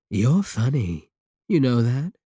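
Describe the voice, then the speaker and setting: A man performs as an evil warlock in an intimate audio roleplay, seductive and possessive. The voice is husky and mellow, very close and dry. He teases in slow, deliberate phrases, manipulative and sure of his hold on the listener. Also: mid-range voice, no echo, full character voice.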